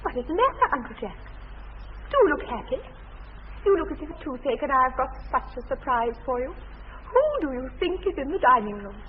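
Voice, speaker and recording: A woman's voice: sits high, big pitch swings, brisk. An actress playing a sweet, naive, primly witty young English lady in a period radio comedy, speaking politely and precisely. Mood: eager, pleading. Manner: polite, precise, earnestly artless, formal. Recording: tinny, band-limited old radio sound, faint noise.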